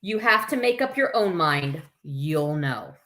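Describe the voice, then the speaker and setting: A woman's voice: low voice, sing-song, unhurried, bright, forceful tone. A woman hosting a story time, reading a picture book aloud expressively with informal, chatty asides to her listeners. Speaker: camp staff story time host.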